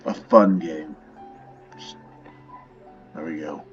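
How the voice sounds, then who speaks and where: A man's voice: very deep voice, fairly even intonation, slow pace, highs cut off. A man giving casual, offhand commentary while playing a video game, often in muttered asides. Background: game music.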